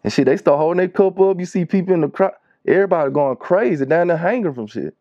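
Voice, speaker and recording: Mellow Louisiana man, slangy and dry-sounding. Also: high-pitched, lively intonation, fast, no room sound, lo-fi sound. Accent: Louisiana Southern US. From Shreveport, Louisiana, United States. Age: 30s. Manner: slangy, conversational. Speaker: music producer, interview guest. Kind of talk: casual interview storytelling. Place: small wood-panelled recording studio.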